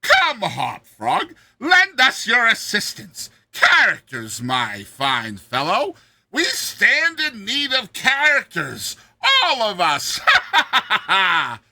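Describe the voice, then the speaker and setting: A man's voice: wildly swooping pitch, slow, pushed and bright, no echo, full character voice. A man voicing a cruel, mocking, boisterous tyrant king in a theatrical, roaring storybook reading. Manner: booming, theatrical, roaring, imperious.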